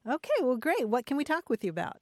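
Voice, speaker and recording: A woman's voice: sits high, big pitch swings, quick pace, darkish tone, dry recording, studio quality. A woman hosting a call-in radio show in a casual, conversational style.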